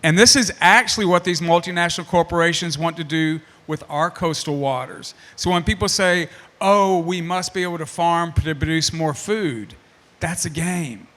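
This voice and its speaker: A man's voice: on the high side, moderate pace, a bit bright. A man in his sixties moderating a panel, talking in an emphatic way and repeating himself when he gets fired up. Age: 60s. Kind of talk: passionate panel talk.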